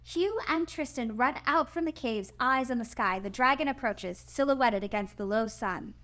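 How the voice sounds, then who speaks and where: Casting: female narrator with a fairly high voice, reading scene directions for a screenplay table read. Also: normal speed, a bit bright.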